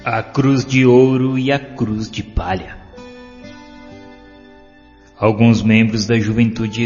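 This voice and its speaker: A man with a Brazilian accent narrates a scripted inspirational story in a measured, solemn, literary way. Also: lowish voice, a little mellow. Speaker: audiobook narrator. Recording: dull lo-fi, long reverb, music behind. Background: music.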